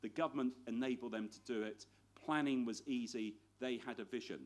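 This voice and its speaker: A man speaks at a conference panel in clipped, list-like points. His tone stays emphatic and his pitch stays in a middle range. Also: steady tone, fairly quick. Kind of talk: conference presentation.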